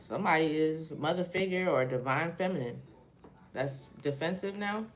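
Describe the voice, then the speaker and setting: A woman with a Haitian accent and a low, deep-set voice talks through a live tarot spread. She questions each card out loud in a loose, profane, conversational way. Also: moderate pace, rough recording. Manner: casual and profane, questions the cards aloud. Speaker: tarot reader. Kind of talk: live tarot reading, thinking aloud.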